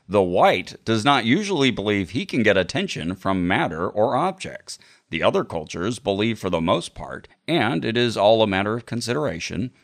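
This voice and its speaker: A man co-hosting a podcast, reading a book aloud dramatically in a deliberate, mock-serious way. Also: fairly low pitch, fairly bright tone. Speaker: podcast host. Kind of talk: dramatic read-aloud of a book with casual asides.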